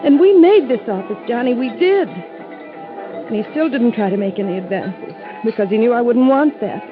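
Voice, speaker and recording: A woman's voice: on the high side, expressive, moderate pace, music in the background, close to an everyday voice. An actress playing a young office assistant in a 1950s radio drama, earnest and emotional in her delivery. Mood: wistful, tearful. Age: young adult. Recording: muffled vintage radio recording.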